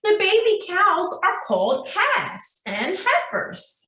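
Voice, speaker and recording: A woman's voice: extremely high pitch, huge pitch swings, takes it slowly, sharp tone. A woman preschool teacher reading aloud and leading a craft lesson in a sing-song way, asking young children questions. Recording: roomy, echoey indoor sound.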